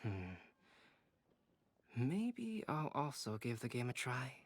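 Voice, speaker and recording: A man playing a composed, thoughtful, polite young artist in a video game, measured and articulate. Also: mid-range voice, very animated, heavily acted. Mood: calm, reflective. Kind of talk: video game character acting.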